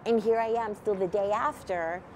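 A woman's voice: mid-range voice, moderate pace, slight background noise. A middle-aged woman with a slight nose cold, animatedly telling her story to a close camera outdoors, with lots of fillers. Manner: animated, many fillers, gesturing as she talks. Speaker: nonprofit founder. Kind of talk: casual testimony to camera. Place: outdoors at a church event, near a street.

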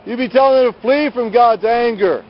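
A man preaching on the street in a loud, scolding, combative manner, confronting people outdoors. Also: very high voice, fairly quick. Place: outdoors at night on a city sidewalk beside a road. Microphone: handheld camera. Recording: muffled lo-fi phone camera audio.

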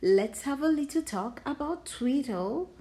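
Storybook fox, male, sly and playful, coaxing with a lively, swinging delivery woman performing. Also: high-pitched, measured pace, voice pushed up. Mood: teasing, insistent. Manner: coaxing, teasing.

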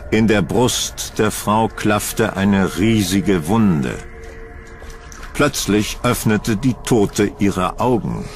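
A man narrating a scripted horror audio drama in a suspenseful, deliberate storytelling manner. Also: fairly low pitch, expressive, soft background music.

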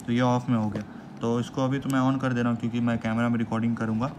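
A man's voice: medium pitch, quick pace. A young man in a casual vlog, walking viewers through a gear setup step by step, chatty and repetitive, calling them "guys". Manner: chatty, repeats words, says "guys" often. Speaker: motovlogger. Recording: steady background noise.

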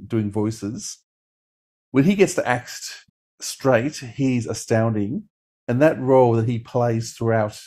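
A middle-aged man in casual podcast review chat on a video call, enthusiastic but halting. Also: lowish voice, mellow. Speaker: podcast co-host reviewing audio dramas. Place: home room, on a video call.

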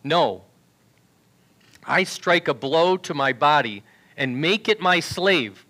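A man's voice: on the high side, bright, forceful tone. A man in his sixties preaching a sermon in a church sanctuary, reading scripture aloud through a headset mic. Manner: earnest preaching, reads scripture aloud from a tablet. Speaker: pastor. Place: large church sanctuary with choir risers.

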